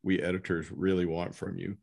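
A middle-aged man hosting a casual interview as an editor, talking in a rambling, halting way. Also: low-pitched, level tone, vocal fry, darkish tone. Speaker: podcast host, book editor.

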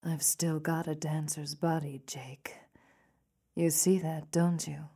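An actress playing a seductive, cold-blooded femme fatale killer in a noir audio drama, with a sultry and scornful manner. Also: female voice, sits low, little pitch movement, slow, dark tone, breathy edge, full character voice.

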